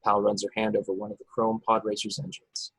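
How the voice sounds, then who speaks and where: A man's voice: on the low side, completely flat delivery, fast talker, husky, no echo, poor audio. A man speaking as narrator and organizer of an informal table read over a video call. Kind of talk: scripted narration and casual chat.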